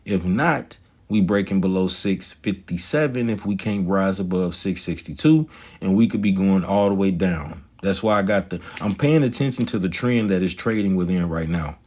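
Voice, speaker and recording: Swaggering low-voiced man talking stocks. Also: moderate pace, darkish tone. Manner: swaggering, profane, slangy, talks to chat. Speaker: stock market livestreamer. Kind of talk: casual livestream chat about stocks.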